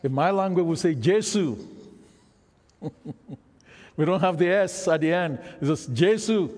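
A man's voice: sits high, unhurried, darkish tone. A man, Isoko his tongue, preaching a sermon and engaging the congregation with questions. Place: church hall. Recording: reverberant hall.

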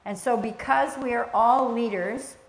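A soft-spoken woman leading a church teaching session, speaking in a gentle, conversational way with a spoken prayer. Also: fairly high pitch, a little slow. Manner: gentle, conversational, with fillers and restarts. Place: church sanctuary. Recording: echoey church room, faint ambience.

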